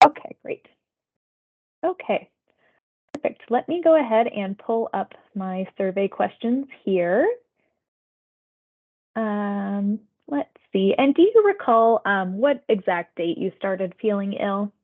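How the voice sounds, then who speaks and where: A woman conducts a health survey over what sounds like a narrow phone line. Her voice sits at a medium pitch. She moves through scripted questions politely and conversationally, acknowledging answers as she goes. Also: lively intonation, takes it slowly, long pauses. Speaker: public health phone interviewer. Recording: tinny phone-like audio.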